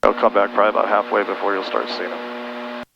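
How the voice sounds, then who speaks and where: A man's voice: lowish voice, steady tone, a little fast, creaky, muffled recording. An American man, middle-aged, patiently coaching someone step by step as a flight instructor, in a casual way over a headset. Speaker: pilot instructing a student. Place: small twin-engine plane cockpit in flight. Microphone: aviation headset intercom. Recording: noisy cockpit headset, engine drone.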